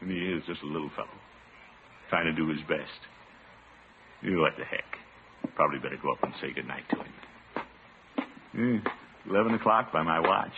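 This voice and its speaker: An American man playing a blustery, soft-hearted middle-aged uncle in a classic radio comedy, theatrical and pompous. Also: very deep voice, normal speed, pauses often. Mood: soft, regretful. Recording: muffled, noisy vintage radio recording.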